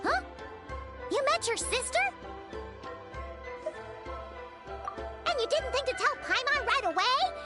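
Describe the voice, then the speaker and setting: A tiny, excitable fairy companion from a video game, squeaks away in an extremely high childlike voice. The delivery swoops up and down, chatty and caring, with music clearly playing underneath. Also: female voice, normal speed, full character voice. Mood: surprised, then gentle. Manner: speaks of self in third person.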